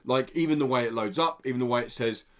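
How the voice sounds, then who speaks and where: A man's voice: medium pitch, fast talker. A man hosting a podcast, presenting news in a casual, chatty style.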